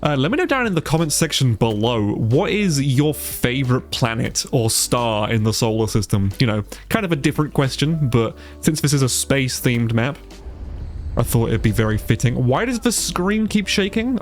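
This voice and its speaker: A man's voice: medium pitch, very animated, normal speed, faint music behind. A man with a stuffy, congested nose giving casual, sweary let's play commentary and sounding easily amazed. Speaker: gaming YouTuber, player.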